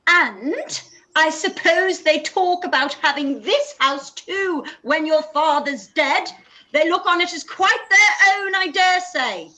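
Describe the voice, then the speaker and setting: A woman playing a fussy, high-pitched mother. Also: takes it slowly, pushed and bright, heavily acted, low-quality recording. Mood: grumbling. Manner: gushing and complaining. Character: fussy, dramatic middle-aged mother. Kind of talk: live-read radio play.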